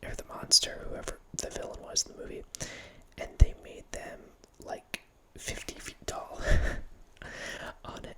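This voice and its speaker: A man in his thirties hosting a whispered ASMR ramble, speaking softly right into a close studio microphone in a small home room, with lots of self-corrections. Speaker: ASMR channel host. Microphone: close studio microphone, wearing headphones.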